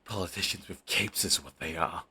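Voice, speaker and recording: A man's voice: low voice, moderate pace, gravelly, bright, forceful tone, breathy edge, heavily acted. A young man playing a bitter, fiercely devoted male villain in an audio roleplay, talking in an intense, raw way and swearing often. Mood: bitter, reflective.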